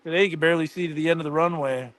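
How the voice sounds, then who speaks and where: A man's voice: on the high side, fairly even intonation, rapid-fire, fairly bright tone. An American man hosting a casual livestream with enthusiastic, conversational live commentary. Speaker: livestream host.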